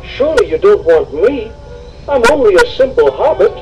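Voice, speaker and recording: A man's voice: high voice, very animated, moderate pace, lo-fi sound, voice pushed up. A man voicing a small, timid and reluctant hobbit in a children's storybook, meek and fretful. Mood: startled, timid. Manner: grumbling, meek. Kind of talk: children's storybook read-along acting. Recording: music behind the voice.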